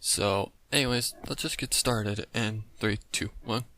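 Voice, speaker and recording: A man's voice: fairly low pitch, a little slow, pushed and bright, a little hiss or hum. A man hosting a reaction video, commenting in a casual, informal and rambling way. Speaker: host of a reaction channel. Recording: music playing behind the voice. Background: music.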